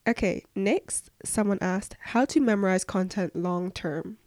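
A young woman with a voice croaky from flu, giving casual, earnest advice as a podcast host answering questions. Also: medium pitch, unhurried, a little mellow, dry recording, clean studio sound. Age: young adult.

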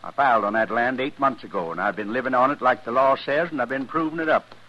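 Husky, stubborn homesteader played by a man. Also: mid-range voice, quick pace, close to an everyday voice. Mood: defiant. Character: stubborn, wronged frontier homesteader, gruff and defiant. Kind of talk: old-time radio western acting. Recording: dull old radio recording.

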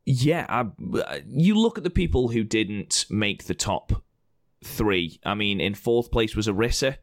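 A man co-hosting a podcast, hoarse with a croaky throat, blunt and opinionated and swearing freely as he argues his picks. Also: lowish voice, lively intonation, unhurried, dry recording, clean studio sound. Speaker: podcast co-host presenting award results. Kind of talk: casual banter.

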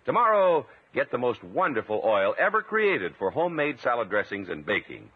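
Tinny vintage male announcer, hugely animated pitch. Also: moderate pace, husky. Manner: emphatic, salesmanlike. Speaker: radio announcer. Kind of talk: 1950s radio announcing and ad narration. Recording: tinny vintage radio recording.

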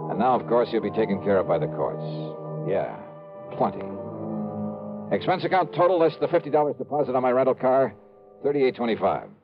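An actor playing a cool, wry hard-boiled investigator in a 1950s radio detective drama. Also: male voice, mid-range voice, very animated, brisk, music in the background. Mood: tense, wry narrating. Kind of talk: 1950s radio detective drama, first-person narration and dialogue. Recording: muffled old radio broadcast recording.

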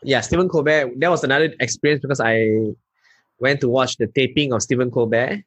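A man from Malaysia with a Malaysian accent, a stand-up comedian telling lively anecdotes in an interview. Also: mid-range voice, fairly quick, husky, no room sound. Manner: animated anecdotes, local particle "lah". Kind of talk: casual interview storytelling.

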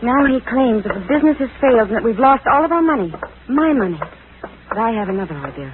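A woman's voice: fairly high pitch, big pitch swings, fast, noisy background. Anxious young woman, tinny radio. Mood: worried. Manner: urgent, hesitant, faltering. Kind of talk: 1940s radio drama acting. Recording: tinny vintage radio recording, crowd behind.

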